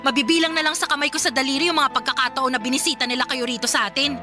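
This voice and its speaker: A woman's voice: high voice, steady tone, very fast, music in the background, close to an everyday voice. A woman playing a weary, hurt adult daughter who cares for her sick mother, pleading and exasperated in a Filipino radio drama.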